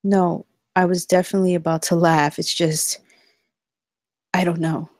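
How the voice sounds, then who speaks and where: Female flight attendant in a crisis, read by an actress: lowish mellow voice, close dry sound, steadying and earnest. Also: level tone, moderate pace, no echo, close to an everyday voice. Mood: puzzled. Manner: steadying, earnest, quietly persistent. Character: shaken but caring young female flight attendant. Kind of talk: acted table read of a film script.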